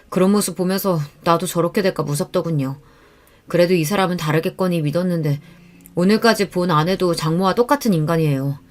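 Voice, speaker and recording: A woman's voice: lowish voice, natural voice. A woman voicing an earnest, polite adult son who is weary of his marriage, in a radio-style story.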